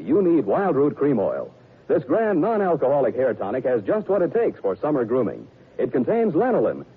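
A vintage American male announcer reads sponsor copy with animated, swinging pitch and a very husky, rough-edged sound. The broadcast is tinny and narrow. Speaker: radio announcer. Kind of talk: scripted 1940s radio sponsor announcement. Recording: tinny old radio broadcast, faint music.

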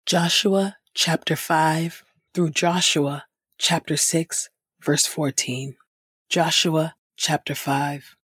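A woman host reading Scripture aloud in a scripted devotional style, with measured, clearly phrased delivery. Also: deep voice, steady tone, fairly bright tone, no room sound, studio quality. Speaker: podcast host and Scripture reader.

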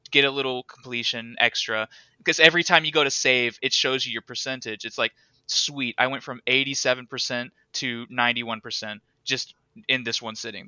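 Bright, crisp-voiced man chatting casually. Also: mid-range voice, no room sound. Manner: rambling, animated, laughs often. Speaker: podcast co-host. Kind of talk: casual chat.

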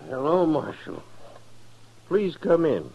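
An actor playing a courtly, proud and cool gentleman gunfighter with formal, polished speech in a 1950s radio western. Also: a little high, wildly swooping pitch, takes it slowly, vocal fry, slight background noise, heavily acted. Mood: suave, courteous. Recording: dull old radio drama recording.

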